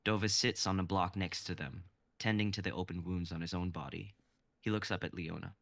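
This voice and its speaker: A young man in his twenties reading stage directions as narrator of a live script reading, in an even manner. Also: deep voice, fairly quick, vocal fry. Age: 20s.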